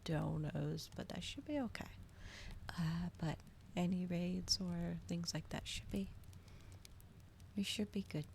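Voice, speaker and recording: Woman, soft gentle voice, lowish pitch, creaky edges, casual ASMR stream host, fireplace noise behind. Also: takes it slowly, pauses often. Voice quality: soft, gentle voice, often slipping into whisper. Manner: casual, hesitant, chatting to viewers. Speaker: streamer hosting a live reading. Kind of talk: soft-spoken ASMR livestream chat. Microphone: regular microphone, not ASMR-specific. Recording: audible background noise, fireplace ambience. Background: crackling fireplace ambience.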